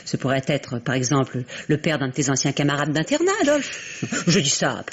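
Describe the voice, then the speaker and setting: A woman's voice: low voice, very animated, a little fast, breathy edge, full character voice. An actress playing a sarcastic, bitter woman in an old French radio mystery, speaking with biting, haughty formality. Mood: cutting. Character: sarcastic, bitter middle-aged relative, cutting and haughty. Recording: noisy old radio recording.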